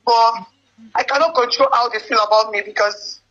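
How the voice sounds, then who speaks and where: A young woman in an emotional personal phone conversation, speaking haltingly and often repeating her words. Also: a little high, steady tone, a bit bright. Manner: halting, repetitive phrasing. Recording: band-limited leaked phone call with music. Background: music.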